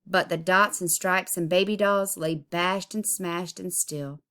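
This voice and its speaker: A woman reading a children's picture book aloud expressively, with deliberate, dramatic emphasis. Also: lowish voice, steady tone, pushed and bright. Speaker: storybook reader.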